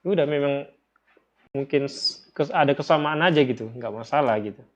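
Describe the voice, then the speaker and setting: A man's voice: medium pitch, a little fast. A man with an Indonesian accent teaching in an informal lecture style, explaining step by step, repeating phrases and posing questions to his listeners. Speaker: religious teacher. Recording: dull, lo-fi audio.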